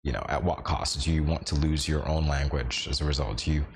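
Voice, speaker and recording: A man co-hosting a morning TV show, chatting in a relaxed, reflective way from the studio. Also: very deep voice, a little fast, slight background noise. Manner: relaxed and reflective, chuckles easily. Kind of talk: casual studio chat.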